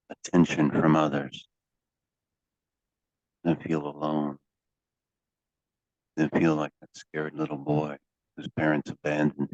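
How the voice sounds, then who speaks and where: A man working as a hypnotherapy coach, guiding a session in a deliberate, commanding way with drawn-out pauses and phrases to be repeated. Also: very deep voice, a little mellow. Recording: dull, echoey lo-fi room sound.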